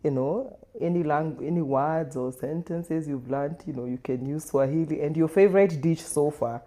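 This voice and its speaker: A woman from Kenya hosting a podcast, playful and encouraging, with steady "mm-hmm" replies. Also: low-pitched, moderate pace. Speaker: podcast host. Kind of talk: casual interview hosting.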